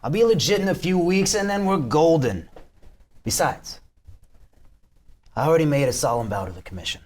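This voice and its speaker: Brusque mob boss played by a male actor, higher-set voice, lively intonation, table-read drama. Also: moderate pace, lightly acted. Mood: defiant, dismissive. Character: brusque, foul-mouthed male mob boss facing retirement. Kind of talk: live script table reading.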